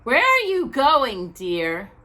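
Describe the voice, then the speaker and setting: A woman voicing a gently worried, motherly married woman in a storybook, solicitous in manner. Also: high-pitched, wildly swooping pitch, slow, pitched above the performer's own voice, exaggerated voice. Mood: concerned.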